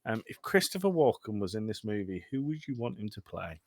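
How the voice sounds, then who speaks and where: A man's voice: on the low side, big pitch swings, a little fast, no room sound. A man hosting a podcast, chatting casually and jokingly about films. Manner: joking, conversational. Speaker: podcast host. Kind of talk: casual film discussion.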